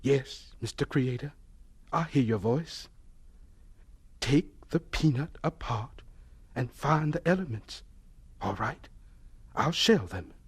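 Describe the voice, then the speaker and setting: An actor playing a devout, humble elderly scientist in a radio drama, husky and deliberate, absorbed in talking with God. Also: male voice, mid-range voice, lively intonation, exaggerated voice. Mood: absorbed, hushed.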